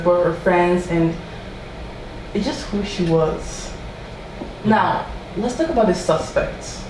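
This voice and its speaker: A woman hosting a podcast, telling a true-crime story in a casual, animated way and quoting people in a raised voice. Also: lowish voice. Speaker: podcast host. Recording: echoey room from far off with faint noise.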